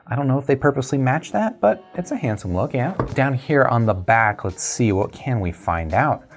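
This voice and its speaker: A man's voice: mid-range voice, animated, moderate pace. A man chatting casually through a tech review and unboxing, conversational and thinking aloud as he goes.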